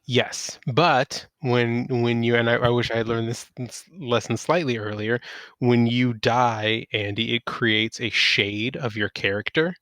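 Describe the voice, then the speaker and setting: A man hosting a podcast, chatting casually about games in a halting, conversational storytelling way. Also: medium pitch, steady tone, a bit bright, no echo. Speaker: podcast host. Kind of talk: casual gaming chat.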